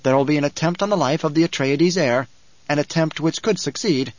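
A man voicing a coolly calculating, sly adviser in an audiobook, speaking in a precise, measured, smug manner. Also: fairly high pitch, dry recording, muffled recording, lightly acted. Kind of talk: audiobook character acting.

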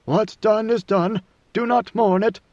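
A man voicing a grave, ancient god-emperor in a storybook reading, with slow, solemn, oracular pronouncements. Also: high voice, fairly even intonation, darkish tone, no echo, lo-fi sound, voice pushed up. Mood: solemn, prophetic.

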